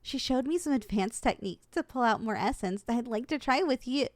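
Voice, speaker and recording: A woman plays a young succubus student with a high, swooping voice. She is possessive and competitive, blunt when indignant and quick to get flustered. The delivery is ASMR roleplay acting, recorded dry and close. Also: lively intonation, a little mellow, no room sound, natural voice. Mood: sweet, eager.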